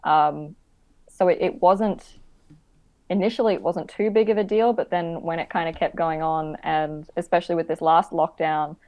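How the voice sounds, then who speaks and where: Aussie actress guest, bit low voice, lots of ums, relaxed chat. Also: female voice, moderate pace. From Queensland, Australia. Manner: hesitant, many ums, laughs while talking. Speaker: actor, podcast guest. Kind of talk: casual interview.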